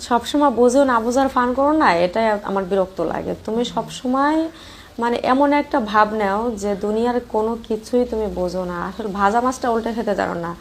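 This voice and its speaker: A woman's voice: on the high side, a little fast. A woman playing a coy, evasive married sister-in-law in a colloquial phone-call drama, chiding as she talks. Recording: faint background noise.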